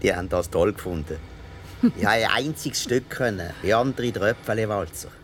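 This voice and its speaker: A man's voice: lowish voice, a little fast, a bit bright, a little hiss or hum, natural voice. An actor with a Swiss accent playing a middle-aged man in a radio play, reminiscing playfully in a musing way. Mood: musing, amused. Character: reminiscing grown man, playful with his dog.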